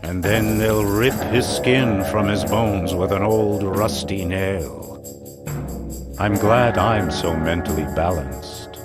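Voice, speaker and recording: Slow, deep, deluded mystic. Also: male voice. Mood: calmly menacing. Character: eccentric asylum inmate, pompous deluded would-be mystic. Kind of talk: adventure game character acting. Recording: loud music under the voice.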